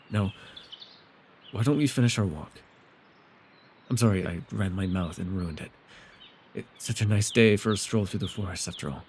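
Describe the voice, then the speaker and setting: A man playing a mysterious, soft-spoken elf with a gently teasing, secretive way, in an immersive ASMR roleplay with hesitant, tender asides. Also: fairly low pitch, a little hiss or hum, close to an everyday voice. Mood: gentle, warm.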